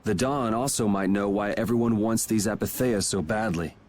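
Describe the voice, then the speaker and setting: A man's voice: fairly low pitch, moderate pace, darkish tone, slight background noise, natural voice. A man playing a laid-back young swordsman in a video game, speaking in a dry, matter-of-fact way. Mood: calm, wry.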